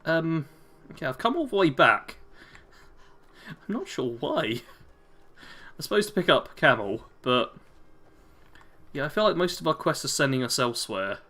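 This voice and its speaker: A chatty British man with a bright, forward voice, talking through a game stream. He keeps up a loose, friendly chatter as he answers viewers, chuckling often between comments. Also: on the high side, big pitch swings, moderate pace, long pauses. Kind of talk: casual live-stream commentary, reading chat aloud.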